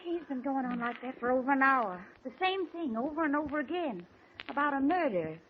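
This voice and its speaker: A lilting, high-voiced hospital nurse. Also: female voice, expressive, brisk. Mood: concerned. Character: brisk, soothing hospital nurse. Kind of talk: 1940s radio drama acting. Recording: tinny, hissy 1940s radio recording.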